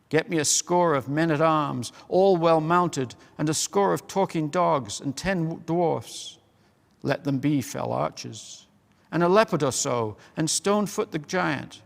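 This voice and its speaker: A man's voice: fairly high pitch, creaky. A man voicing a noble, rash young king who speaks in a commanding, indignant way. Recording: long hall reverb.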